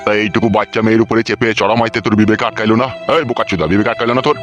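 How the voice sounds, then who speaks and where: Cartoon village elder, acted by a man: stern, foul-mouthed, commanding, very quick delivery, rural West Bengali accent. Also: lowish voice, fairly even intonation, fairly bright tone, dull audio, faint music behind, natural voice. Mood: angry. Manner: bullying, commanding, rapid. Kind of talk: cartoon dialogue.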